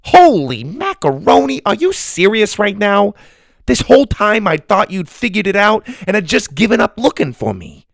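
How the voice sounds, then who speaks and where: A man voicing a feisty, indignant old grandmother, a sharp-tongued, bossy adventurer in a storybook. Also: fairly high pitch, big pitch swings, normal speed, gravelly, no room sound, clean studio sound, voice pushed up, full character voice. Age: older. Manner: exclamatory, teasing, bossy.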